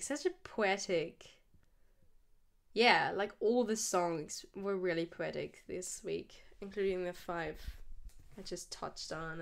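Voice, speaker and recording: A woman's voice: on the low side, clear, resonant tone. A woman hosting a music podcast alone, rambling and enthusiastic, with lots of fillers. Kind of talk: casual solo podcast chat.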